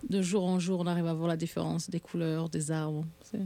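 A woman's voice: fairly low pitch, little pitch movement, a little fast, creaky, warm tone. A woman from France, a guest on a community radio show, chatting casually about her life and training with frequent restarts.

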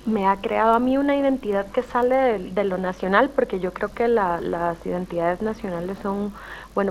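A woman's voice: medium pitch, poor audio. A woman from Guatemala, a rapper and guest on a radio show, speaking thoughtfully with frequent restarts. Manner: thoughtful, searching, many restarts. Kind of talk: reflective interview talk.

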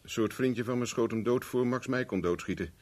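An actor in a 1970s Dutch radio play, playing a cocky private investigator with a dry, teasing, self-mocking manner. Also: male voice, medium pitch, a little fast, darkish tone, close to an everyday voice. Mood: firm, explaining. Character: wry, cocky male private investigator for hire.

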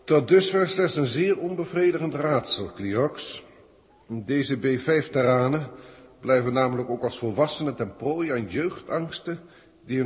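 An actor playing a pompous, pedantic alien senior scientist with stilted formal diction in a 1960s science-fiction radio play. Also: male voice, mid-range voice, lightly acted. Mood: grave, dissatisfied. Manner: stilted, elevated, officialese diction. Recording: 1960s radio recording, long cavernous reverb.

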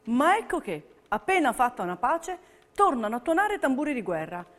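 A woman performs scripted narration for the stage. Her voice sits on the high side and moves with lots of melodic swing. Her storytelling is emphatic and dramatic. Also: very animated, moderate pace.

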